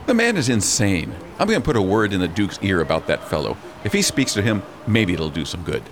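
A middle-aged man playing a gruff, protective lord and father in a radio drama, blustering and grumbling with emphasis. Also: deep voice, sing-song, a little fast. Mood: indignant. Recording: clearly noisy outdoor ambience.

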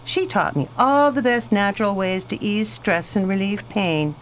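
An actress playing a posh, talkative superintendent's wife in a radio play, genteel and sure of herself. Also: female voice, medium pitch, lively intonation, normal speed, natural voice, low-quality recording. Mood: gracious. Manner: genteel, chatty, self-assured. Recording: faint background noise.

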